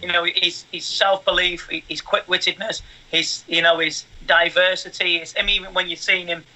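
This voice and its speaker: A man's voice: sits high, little pitch movement, normal speed, pushed and bright, rough recording. A man, a mind coach, giving earnest advice as an interview guest, often restarting his sentences and filling with "you know". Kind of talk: casual interview answers.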